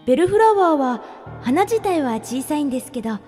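Woman playing gentle high-voiced girl in long reverb. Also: very animated, a little fast. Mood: cheerful. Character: gentle, courteous young woman pen pal, playfully secretive. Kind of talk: radio drama dialogue, reading letters aloud. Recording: long reverb, music behind.

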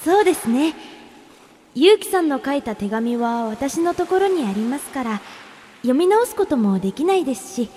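Woman playing gentle high-voiced girl in long reverb. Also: big pitch swings, normal speed, a little hiss or hum. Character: gentle, courteous young woman pen pal, playfully secretive. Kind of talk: radio drama dialogue, reading letters aloud. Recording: long reverb, music behind.

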